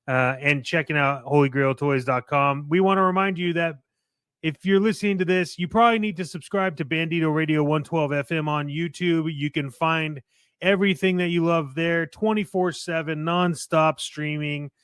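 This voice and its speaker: A man's voice: a little high, fairly even intonation, normal speed, fairly bright tone, clean tone, no room sound. A man hosting a radio-style podcast in a conversational, salesy way, moving between casual banter and upbeat sponsor reads on a close mic. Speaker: podcast and radio show host.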